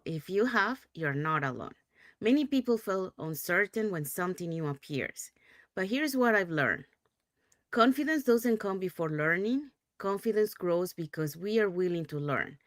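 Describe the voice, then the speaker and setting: A middle-aged woman from Panama hosts a live online talk over a video call. Her voice sits fairly low and sounds dry and close. She talks in a friendly, relaxed way with plenty of fillers. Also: no echo. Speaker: host.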